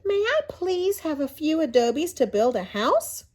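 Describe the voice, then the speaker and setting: A woman's voice: high-pitched, big pitch swings, measured pace, darkish tone, pitched above the performer's own voice. A woman voicing a plucky, defiant young javelina, a wild pig from a children's storybook, calling back with spirit. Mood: polite. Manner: spirited, defiant call-backs.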